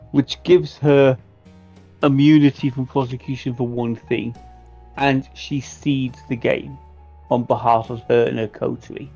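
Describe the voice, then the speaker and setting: Gravelly man discussing tabletop strategy. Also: medium pitch, a little mellow. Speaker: tabletop game player. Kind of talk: casual game strategy discussion. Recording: dull lo-fi mic, faint music.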